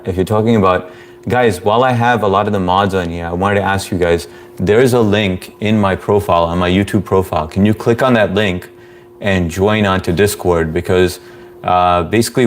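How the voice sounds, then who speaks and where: A very low-voiced man hosts a relaxed live stream, reading viewer comments aloud and shouting out names. His delivery is flat and monotone, broken by easy chuckles. A faint hum sits under the recording. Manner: laid-back, reads chat aloud, chuckles often.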